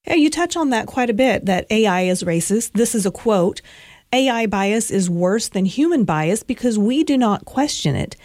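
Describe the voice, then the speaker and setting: A woman's voice: mid-range voice, lively intonation, normal speed, darkish tone, no echo, clean studio sound. A woman interviewer asking an author engaged, inquisitive questions.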